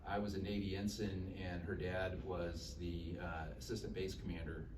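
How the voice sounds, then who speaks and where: Low-voiced man talking in an echoey room. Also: moderate pace. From Dallas, Texas. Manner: relaxed storytelling, many fillers and self-deprecating jokes. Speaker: political candidate. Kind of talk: campaign speech to a local group. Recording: echoey room, mic far off, noisy.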